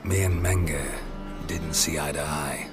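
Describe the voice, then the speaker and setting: A man voicing a gruff, laconic monster hunter in a video game, gravelly and deadpan, with terse sardonic lines. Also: very deep voice, slow pace. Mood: dry, irritated. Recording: music behind the dialogue.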